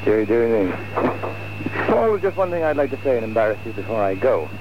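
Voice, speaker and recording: A man's voice: mid-range voice, big pitch swings, a little fast. A man on radio giving a playful, teasing farewell to a colleague. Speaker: radio presenter. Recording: dull noisy radio recording.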